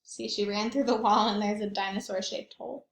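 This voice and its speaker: A woman's voice: mid-range voice, steady tone, brisk, creaky, roomy sound. A woman reading picture books aloud to young children, expressively and with questions for her listeners. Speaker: children's read-aloud host, teacher or librarian.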